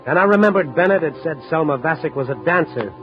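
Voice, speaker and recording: An American actor playing a shrewd, wry newspaper reporter in a 1950s radio drama, speaking in a dry, measured, hard-boiled way. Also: male voice, fairly high pitch, expressive, lightly acted. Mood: brooding, wry. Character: shrewd, wry night-beat newspaper reporter, adult man. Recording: dull vintage radio recording with music.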